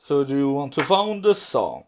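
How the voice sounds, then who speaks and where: A man hosting a podcast, talking casually in a halting, hesitant way. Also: mid-range voice, big pitch swings. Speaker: podcast host.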